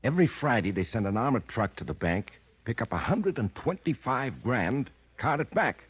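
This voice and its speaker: A man's voice: medium pitch, sing-song, moderate pace, husky. An actor playing a tough, pushy gangster henchman with slangy, clipped talk in a 1940s radio drama. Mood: eager. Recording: dull, lo-fi old radio recording.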